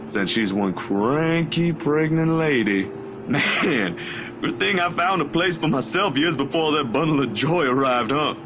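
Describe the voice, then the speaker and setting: A man's voice: on the high side, lively intonation, a little slow, noisy background. A man voicing an easygoing photographer friend in a visual novel, casual, reassuring and slightly hesitant. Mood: teasing, casual. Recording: music behind the voice.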